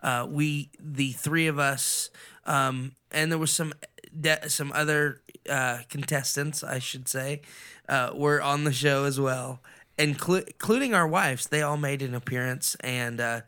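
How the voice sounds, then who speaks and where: A man's voice: medium pitch, fairly even intonation, measured pace, bright, forceful tone, clean tone. A man co-hosting a podcast, talking casually in an enthusiastic, rambling way. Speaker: podcast co-host.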